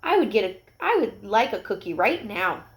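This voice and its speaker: A woman's voice: medium pitch, sing-song, normal speed. A woman reading a children's storybook as a small, hopeful puppy, polite, earnest and a little plaintive. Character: small, hopeful, wistful puppy looking for a boy. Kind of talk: children's storybook read-aloud.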